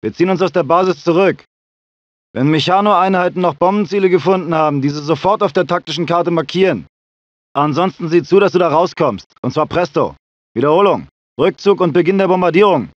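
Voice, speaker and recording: An actor playing a gruff, stressed combat soldier in a German-dubbed video game, with clipped military griping and orders. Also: male voice, on the high side, fairly quick, no echo, close to an everyday voice. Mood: commanding. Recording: dull, lo-fi game audio.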